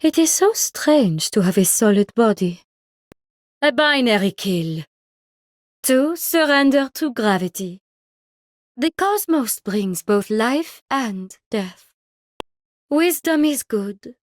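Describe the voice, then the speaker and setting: A woman's voice: a little high, dry recording, clean studio sound. An actress playing an imperious, detached cosmic being in a video game, solemn and grandiose in her declamation. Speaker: game character voice.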